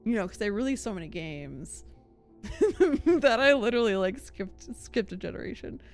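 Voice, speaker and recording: A woman's voice: mid-range voice, sing-song, moderate pace, soft background music. A woman streaming a game and chatting casually as she plays. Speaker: live streamer.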